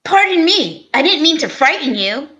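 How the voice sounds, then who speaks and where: A woman playing a slow-talking male police inspector, a coaxing and theatrical suitor in an old-time radio show. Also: sits high, big pitch swings, pushed and bright, exaggerated voice. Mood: suave, pushy.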